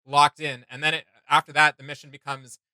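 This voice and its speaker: A man's voice: on the high side, little pitch movement, pushed and bright, clean tone, dry recording. A man giving casual, opinionated reaction commentary as a host, emphatic and informal, often circling back over his words. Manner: emphatic, halting and repetitive, informal.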